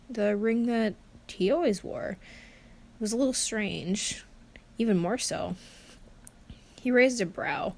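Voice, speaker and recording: A woman reads a piece of fan fiction aloud at a slow pace. Her pitch sits in the middle range for a woman. The delivery is informal and conversational, with halting phrasing as she narrates. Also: darkish tone.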